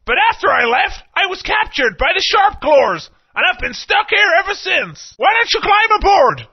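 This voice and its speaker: A woman voicing a spirited, grateful winged creature in a video game, loud and exclamatory. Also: very high-pitched, sing-song, moderate pace, full character voice. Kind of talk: video game character acting. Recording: dull lo-fi game audio.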